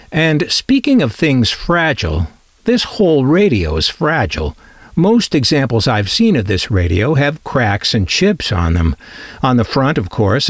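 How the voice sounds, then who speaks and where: Lively, wry man narrating. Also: mid-range voice, no room sound, clean studio sound. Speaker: presenter of a vintage radio collecting channel. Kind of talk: informal scripted product narration.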